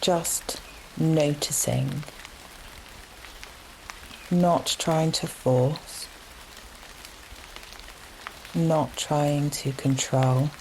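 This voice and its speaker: A woman leading a guided relaxation meditation in a gentle, soothing manner, leaving long unhurried pauses between phrases. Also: low voice, a little hiss or hum, poor audio. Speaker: yoga nidra meditation guide. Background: steady rain sounds.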